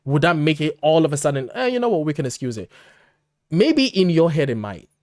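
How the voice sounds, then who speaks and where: A man's voice: fairly high pitch, big pitch swings, a little fast, a little mellow, no room sound. Blunt, emphatic man in a dry room. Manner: emphatic, blunt, rhetorical questioning. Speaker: podcast co-host. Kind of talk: conversational commentary, arguing a point.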